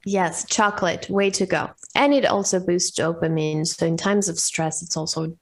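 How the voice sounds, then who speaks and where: A woman's voice: on the low side, normal speed. Swiss-colombian accent woman on a podcast, explaining food stuff, upbeat and chatty. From Switzerland. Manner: enthusiastic, explanatory, upbeat asides. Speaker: guest nutrition expert. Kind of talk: casual podcast interview.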